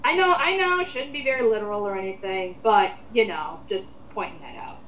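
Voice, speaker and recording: A woman's voice: mid-range voice, expressive, fairly quick, a little hiss or hum. A woman co-hosting an online commentary, chatting casually and giving her opinions in a rambling, informal way with occasional swearing. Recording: roomy, dull lo-fi home recording.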